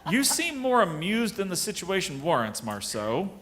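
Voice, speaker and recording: A man playing a stern, deadpan space marshal in a comic pulp radio play, commanding and exasperated. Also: high voice, normal speed, a crowd in the background. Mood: wry, deadpan. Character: stern, exasperated square-jawed male space marshal hero. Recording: live stage recording with background noise.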